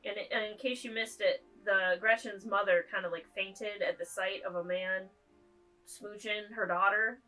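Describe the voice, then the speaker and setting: A woman co-host talks through the film in a bright, forceful voice, joking as she narrates the plot aloud. Solo piano music plays softly underneath. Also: medium pitch, steady tone, moderate pace, low-quality recording. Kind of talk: casual movie-watching chat.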